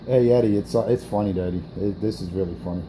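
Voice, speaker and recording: A man's voice: on the low side, fast talker, very noisy. Brash trash-talking man on CB radio. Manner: brash, taunting, profane, repeats himself. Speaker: CB radio operator hosting an on-air morning show.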